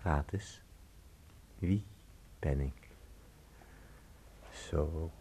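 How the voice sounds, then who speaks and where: An actor playing a calm, mocking, otherworldly stranger in a Dutch radio play, deliberate and faintly amused. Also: male voice, very deep voice, breathy edge, full character voice, low-quality recording.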